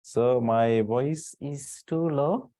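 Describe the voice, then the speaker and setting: A man teaching a spreadsheet lesson to an online class, explaining in a halting, repetitive way with frequent pauses. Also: medium pitch, dark tone. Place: live online class over a microphone.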